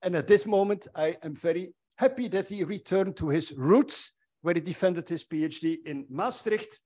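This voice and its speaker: A man's voice: high voice, normal speed, a little mellow. A middle-aged man, chairing an academic conference from a lectern in a wood-panelled lecture hall, genial and lightly joking. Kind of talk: welcoming remarks at an academic conference. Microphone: lectern microphone.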